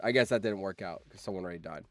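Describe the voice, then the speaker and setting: A man hosting a live stream, reading out game events and reacting in an animated, exclamatory way with repeated phrases. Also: deep voice, very fast, dry recording, soft background music.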